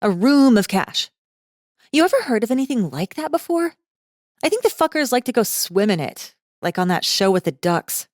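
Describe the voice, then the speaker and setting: A woman's voice: fairly high pitch, very animated, normal speed, a little mellow, no echo, studio quality, voice pushed up. A woman playing a wary, slangy young college girl in a dramatized fantasy audiobook, talking in a casual, hesitant and sarcastic way. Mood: sarcastic, incredulous. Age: young adult. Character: slangy, wary, awkward nineteen-year-old college girl.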